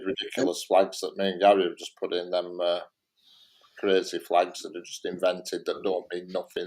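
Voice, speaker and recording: A man's voice: sits low, a little mellow, poor audio. A man with a Lancashire accent chatting casually and bluntly about his opinions, emphatic and often repeating himself. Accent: Lancashire English. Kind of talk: casual opinionated live-stream chat.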